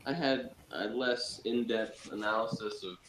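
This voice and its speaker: A man's voice: lowish voice, unhurried, fairly bright tone. A man giving a blunt, dismissive review in casual conversation. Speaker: podcast reviewer. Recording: clearly noisy background.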